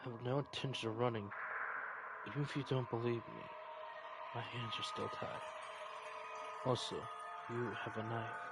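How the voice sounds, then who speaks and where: A man reads story narration and dialogue from a game aloud. His pitch is middling, and he sometimes trips on a word and repeats it. Heavy noise runs through the amateur recording. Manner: stumbles over words and rereads them. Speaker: video maker reading a visual novel aloud.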